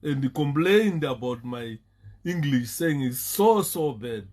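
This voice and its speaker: A man's voice: mid-range voice, lively intonation, unhurried, a little mellow. A Zimbabwean man talking casually on a live stream, stopping and repeating words and laughing often. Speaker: Facebook live host.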